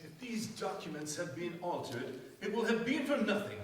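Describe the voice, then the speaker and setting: A man's voice: sits high, very animated, moderate pace. A man playing a formal, dutiful family lawyer in a staged mystery radio play. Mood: alarmed. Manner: formal, proper. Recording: echoey stage from far off, faint noise.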